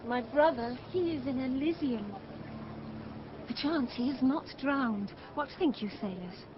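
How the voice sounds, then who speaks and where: High-voiced shipwrecked gentlewoman. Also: measured pace, noisy background. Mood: grieving hope. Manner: earnest, formal verse delivery. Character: earnest, resolute shipwrecked young gentlewoman. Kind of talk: radio Shakespeare drama acting. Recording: muffled, faint ambience behind.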